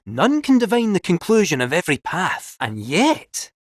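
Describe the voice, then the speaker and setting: An actor playing a theatrical, cheeky robot cat fortune teller with a Scottish accent, in a video game. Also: male voice, sits high, normal speed, no room sound, clean studio sound. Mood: mystical. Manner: grand theatrical storytelling, lively swoops.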